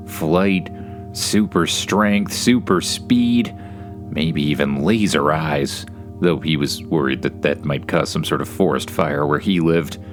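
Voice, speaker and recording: A man with a Canadian accent hosts and narrates a children's bedtime story in an informal, conversational way, with wry, dry playfulness. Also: deep voice.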